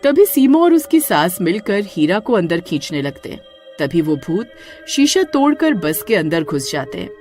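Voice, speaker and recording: A woman's voice: fairly low pitch, very animated, moderate pace, a little mellow, faint music behind. A woman narrating a horror-comedy cartoon story in a dramatic, suspenseful way.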